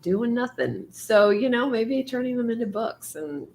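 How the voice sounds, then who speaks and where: A woman hosting a writing stream, talking casually with many fillers and laughs, her voice going hoarse. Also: fairly high pitch, expressive, unhurried, a little mellow, a little hiss or hum. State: losing her voice. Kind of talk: casual chat.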